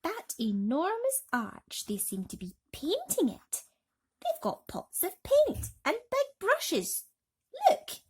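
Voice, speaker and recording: A woman voicing an alert, earnest young boy in a storybook, speaking with hushed urgency. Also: extremely high pitch, wildly swooping pitch, takes it slowly, voice pushed up, heavily acted. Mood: intent wonder.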